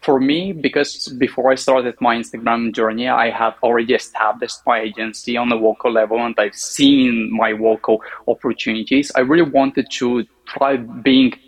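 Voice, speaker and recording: A man's voice: mid-range voice, fairly even intonation, low-quality recording. A man from Bulgaria speaking English with a Bulgarian accent, a podcast guest giving articulate, confident answers about his marketing work. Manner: articulate, confident, measured explanations. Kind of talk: interview answers.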